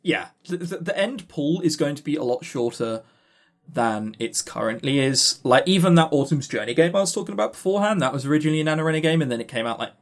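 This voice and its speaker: Male podcast co-host, fairly high-pitched, wide-ranging delivery, animated and opinionated, casual halting chat.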